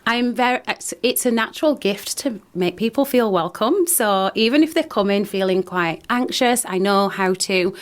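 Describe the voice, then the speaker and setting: A woman's voice: mid-range voice, lively intonation, moderate pace, hardly any pauses. Earnest woman guest on local radio. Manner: earnest, personal storytelling with many restarts. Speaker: guest, founder of a wellbeing company. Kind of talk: radio interview. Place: radio studio.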